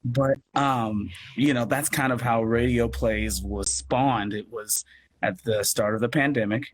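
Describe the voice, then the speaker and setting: An American man speaks as a guest in a casual interview, at an ordinary pitch. He rambles and is self-effacing about it. The sound is live video-call audio with faint noise behind him. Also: steady tone, unhurried. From United States. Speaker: guest, audio drama producer and actor.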